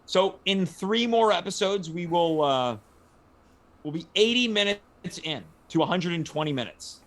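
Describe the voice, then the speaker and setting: A man's voice: high-pitched, expressive, normal speed, fairly bright tone. A man hosting a casual panel livestream, addressing guests and viewers with mock-formal, showy patter. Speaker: host of a livestream show.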